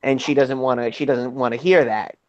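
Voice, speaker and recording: A man talking in a casual online group chat, halting and restarting his sentences often. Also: medium pitch, fairly even intonation, highs cut off.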